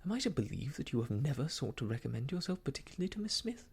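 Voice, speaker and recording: A man voicing an indignant, proper young gentlewoman in a classic novel audiobook, formal, emphatic and reproving. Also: medium pitch, big pitch swings, fast, gravelly, slightly rough, full character voice.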